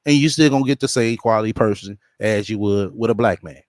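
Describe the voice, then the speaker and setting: A man's voice: fairly low pitch, a little fast, no echo, studio quality. A man joining a livestream who talks bluntly, swears freely and brushes the other speaker off. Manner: blunt, dismissive, profane. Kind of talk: casual livestream chat.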